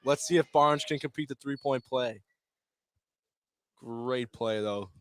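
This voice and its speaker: A man doing live play-by-play basketball commentary in clipped phrases, with excitable calls on big plays. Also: medium pitch, slow. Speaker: play-by-play sports announcer. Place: courtside at a basketball game.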